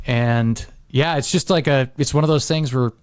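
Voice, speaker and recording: A man's voice: medium pitch, moderate pace, clear, resonant tone, no echo. A man talking casually and agreeably as a podcast speaker. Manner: agreeable, informal. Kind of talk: casual chat.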